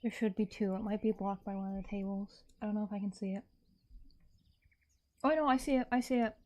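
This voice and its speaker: A woman's voice: medium pitch, fairly even intonation, brisk, darkish tone. A woman chatting casually to her livestream viewers in a rambling, repetitive way. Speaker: streamer, artist and ASMR creator.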